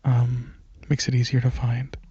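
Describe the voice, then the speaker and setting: A young man speaks slowly and softly in a rambling ASMR style, with a mellow, slightly husky voice. He is hesitant and sometimes sinks toward a whisper. The sound is close and dry. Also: mid-range voice, completely flat delivery, dry recording, highs cut off. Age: young adult. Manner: soft-spoken, hesitant, drifting into near-whispers. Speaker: ASMR channel creator.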